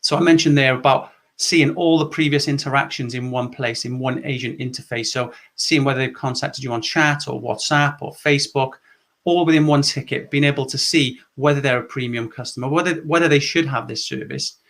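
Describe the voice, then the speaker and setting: A man's voice: mid-range voice, steady tone, brisk, fairly bright tone. A middle-aged man from Wales presenting a structured conference session on customer service, explaining points step by step. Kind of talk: presentation talk.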